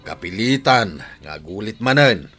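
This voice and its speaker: A man's voice: lowish voice, animated, measured pace, a bit bright, faint music behind. A man with an Ilocano accent playing a stern but reasonable father in a radio drama.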